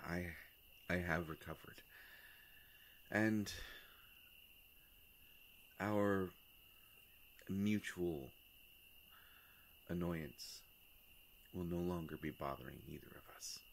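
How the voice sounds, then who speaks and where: A man playing a courteous, melancholy vampire in a roleplay audio drama, speaking formally and hesitantly with long pauses and a gravelly voice. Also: steady tone, a little hiss or hum, heavily acted. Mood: gentle, courteous. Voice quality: gravelly, creaky low voice. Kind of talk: scripted roleplay audio drama addressed to the listener.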